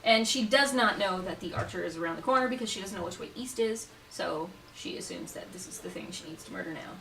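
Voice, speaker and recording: A woman's voice: on the low side, fast, a bit bright, soft background music. A woman playing a tabletop roleplaying game, chatting casually with sweary, sarcastic banter. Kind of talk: casual chat, game talk. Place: around a table indoors at a home game. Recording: roomy, distant mic, clear background noise.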